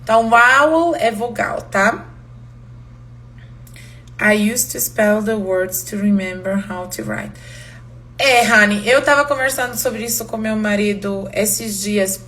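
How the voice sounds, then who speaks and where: A woman's voice: mid-range voice, slow pace, a bit bright, slight background noise. A woman from Romania, a native Hungarian speaker with a Hungarian accent, teaching a live online language class, switching between Portuguese and English and laughing often.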